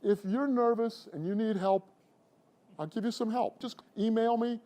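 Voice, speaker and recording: A middle-aged man coaching public speaking, talking to a class in an animated, conversational way. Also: high-pitched, normal speed. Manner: animated and conversational, addressing the room. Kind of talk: presentation to a class.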